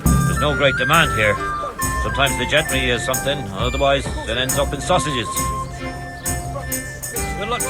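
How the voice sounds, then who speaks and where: A man acts out a gruff village innkeeper for a video game. His medium-pitched voice is curt and guarded, like someone with something to hide. Loud music plays behind it. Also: expressive, normal speed, pauses often, natural voice. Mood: cooperative, wary. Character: wary, gruff village innkeeper with shady dealings. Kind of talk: video game cutscene acting.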